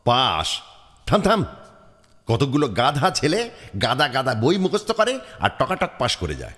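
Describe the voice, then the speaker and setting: A man's voice: mid-range voice, wildly swooping pitch, a little slow, a bit bright. An actor voicing a boastful, bossy cartoon fellow who lectures his pals emphatically. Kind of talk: cartoon dubbing. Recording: long hall reverb.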